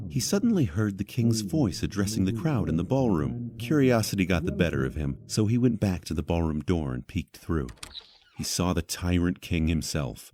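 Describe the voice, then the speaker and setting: A man narrating a scripted fantasy audio drama in a measured, dramatic storyteller's manner. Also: low-pitched, warm tone.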